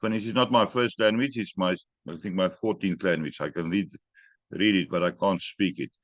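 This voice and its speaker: A man with a fairly low voice leads a training session over a call. He gives firm, brisk instructions and drops in jokes along the way. The sound is dry and close. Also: no room sound. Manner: brisk, directive, joking asides.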